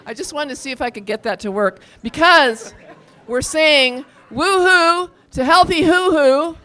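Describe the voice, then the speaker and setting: A woman's voice: high-pitched, measured pace, fairly bright tone. A woman hosting a live event from the stage, casually coaxing the crowd in a playful way. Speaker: event host. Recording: live event with audience noise. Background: faint crowd.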